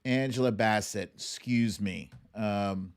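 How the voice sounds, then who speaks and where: A man hosting a livestream with casual commentary, speaking in a halting, conversational way with pauses and emphatic stresses. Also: on the low side, clean tone. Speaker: host of a livestream show.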